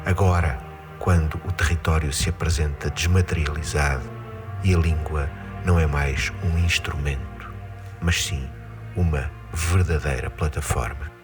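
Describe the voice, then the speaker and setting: Um homem fazendo a narração roteirizada de um vídeo promocional. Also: male voice, extremely low pitch, steady tone, unhurried. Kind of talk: scripted narration. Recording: music behind the voice. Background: music.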